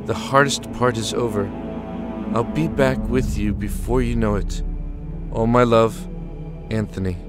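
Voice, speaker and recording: A man's voice: mid-range voice, fairly even intonation, a little slow, lightly acted. Tender wartime lieutenant played by a man over music. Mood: reassuring, loving. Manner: tender, reassuring, stoic. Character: weary, affectionate Allied army lieutenant writing home to his love. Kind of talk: audio drama monologue, reading a letter. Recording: music under the voice.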